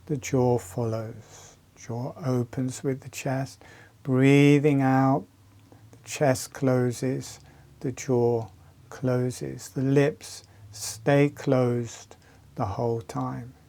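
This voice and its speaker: Very slow, mellow man guiding relaxation. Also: mid-range voice, creaky. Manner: calm, soothing, short phrases with long pauses. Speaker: breathing and relaxation instructor. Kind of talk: guided relaxation exercise instruction.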